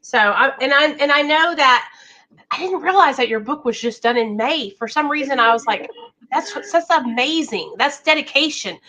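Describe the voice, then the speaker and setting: A woman's voice: high voice, animated, normal speed, bright, forceful tone, rough recording. A woman with an American country accent, chatting informally as the host of a casual interview.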